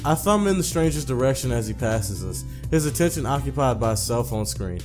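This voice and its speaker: A man's voice: mid-range voice, lively intonation, brisk. Man narrating a game over music. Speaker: let's player narrating a visual novel. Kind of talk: casual commentary and reading game text aloud.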